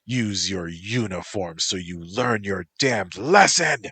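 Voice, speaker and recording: A man's voice: lowish voice, very animated, takes it slowly, husky, no echo, voice pushed up, exaggerated voice. A man voicing a domineering, possessive young woman who swings from cooing to screaming. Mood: furious.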